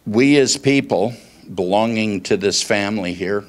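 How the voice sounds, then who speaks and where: A man in his sixties preaching conversationally, in deliberate phrases, putting questions to his congregation into a handheld microphone. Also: fairly low pitch. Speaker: pastor. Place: small church meeting room, indoors. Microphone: handheld microphone held at chest.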